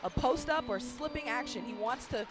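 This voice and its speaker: A woman's voice: a little high, expressive, moderate pace. A woman giving live courtside analysis and reports during a basketball broadcast. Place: courtside in an arena. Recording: noisy courtside arena sound. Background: basketball game noise, bouncing balls.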